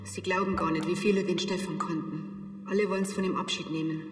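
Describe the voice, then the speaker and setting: An actress playing a composed, solemn church sexton in a TV crime comedy. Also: female voice, lowish voice, steady tone, a little fast, close to an everyday voice. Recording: echoey church, music behind.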